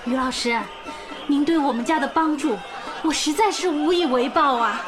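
A woman's voice: sits high, normal speed, natural voice. An actress playing a village mother who speaks humbly and gratefully. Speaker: mother of a pupil. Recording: festive crowd and music behind.

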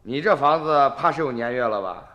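A man's voice: mid-range voice, animated, brisk, pushed and bright, long reverb tail, lo-fi sound. An actor playing a sly male grave robber posing as a junk collector in a radio drama, coaxing and probing as he talks. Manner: wheedling, probing small talk.

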